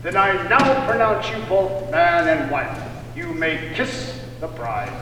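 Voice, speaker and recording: A man playing a bold, formal 1850s gentleman with deliberate, theatrical delivery in a children's puppet show. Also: on the high side, big pitch swings, background noise. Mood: solemn, ceremonial. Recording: echoey hall from far off, music.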